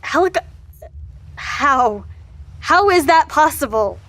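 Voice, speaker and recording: A woman's voice: high voice, expressive, takes it slowly, a bit bright, no echo, heavily acted. A woman playing a guarded archivist who keeps asking wary, startled questions. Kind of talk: audio drama acting.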